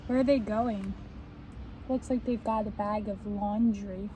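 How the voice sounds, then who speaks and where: A young woman reading a children's book aloud in a deliberate, expressive way, explaining and asking the listeners questions. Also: mid-range voice, rough recording. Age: young adult. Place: outdoors on a front step by a house door. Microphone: camera at a short distance. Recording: faint outdoor street noise.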